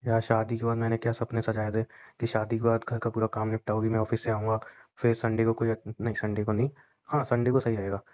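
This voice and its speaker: A man's voice: on the low side, completely flat delivery, fairly quick, a little mellow. Young man teasing on a dull phone line. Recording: dull lo-fi phone call recording.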